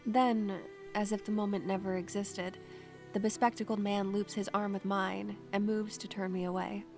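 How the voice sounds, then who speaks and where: A woman's voice: mid-range voice, little pitch movement, moderate pace, music in the background, lightly acted. A woman playing a wistful young student who talks to spirits, in a poetic, dramatic audio drama style. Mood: haunted, wistful. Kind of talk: audio drama with poetic first-person narration.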